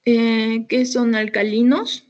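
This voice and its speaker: A woman's voice: on the high side, little pitch movement, takes it slowly, darkish tone. A young woman, a student giving hesitant answers over dull lo-fi audio.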